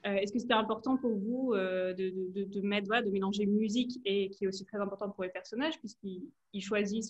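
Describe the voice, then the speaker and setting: The woman moderating an online film Q&A, mid-pitched, feeling her way through long questions over a slightly lo-fi connection. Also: husky. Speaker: interviewer, Q&A host. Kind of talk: conversational interview questions. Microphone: video call. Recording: slightly dull video-call audio.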